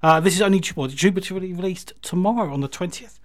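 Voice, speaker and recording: Male radio presenter, slightly high voice, chatty and effusively enthusiastic, informal music-show links. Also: quick pace. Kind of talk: casual radio link between songs.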